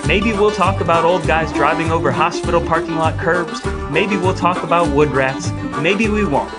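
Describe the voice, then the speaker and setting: An American man co-hosting a podcast in a wry, mock-formal, wordy way, mixing scripted banter with introductions. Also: on the high side. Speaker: podcast co-host.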